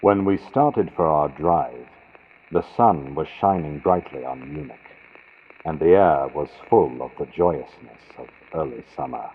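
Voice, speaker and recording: A man narrating a gothic horror story in a deliberate, theatrical way, recorded on a built-in computer mic with a muffled vintage radio sound. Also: extremely low pitch, a little hiss or hum. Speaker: audiobook narrator. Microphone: built-in computer microphone.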